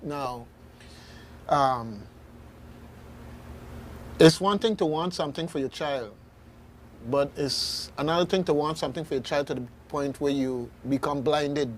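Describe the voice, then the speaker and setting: A man's voice: fairly high pitch, slight background noise. A man with a Caribbean accent hosting a casual radio discussion, folksy and speaking straight to listeners. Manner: folksy, addresses listeners directly. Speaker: radio host.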